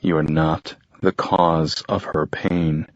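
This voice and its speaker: A man voicing a young boyfriend who talks softly and steadily to comfort his partner, in an audiobook. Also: rumbling low, slow pace, dry recording, voice pushed down, exaggerated voice. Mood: tender. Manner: soft, calm, reassuring. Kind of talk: audiobook character voice. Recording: dull lo-fi audio.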